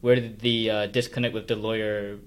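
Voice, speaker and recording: A man with a somewhat low voice hosts an interview. He talks casually, putting questions to his guest and chatting along in a conversational way. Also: level tone, a little fast. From South Korea, Hong Kong, then Canada from childhood. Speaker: podcast host, interviewer.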